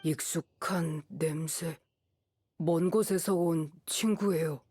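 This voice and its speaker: Slow, halting wild boy. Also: low voice, dark tone, slightly rough, no room sound, studio quality, heavily acted. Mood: calm, earnest. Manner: halting, terse, simple phrases. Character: shy, earnest teenage wild boy raised by wolves. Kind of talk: anime game voice acting.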